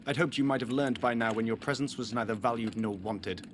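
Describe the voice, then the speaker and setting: Man voicing a scornful young prince, average pitch, condescending, formal, game drama, clear background noise. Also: a little fast, natural voice. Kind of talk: dramatic video game acting.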